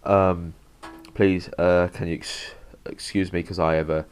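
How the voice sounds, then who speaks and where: Lowish man with a cold. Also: level tone, clear, resonant tone, soft background music. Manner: halting, many fillers, talks while working. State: has a cold, slightly bunged up. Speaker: PC hobbyist showing a hardware install. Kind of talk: casual how-to narration.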